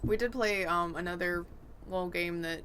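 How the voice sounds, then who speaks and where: Bright-voiced woman telling stories on a podcast. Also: fairly low pitch, moderate pace, slight background noise. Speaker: podcast co-host. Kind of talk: casual gaming podcast chat.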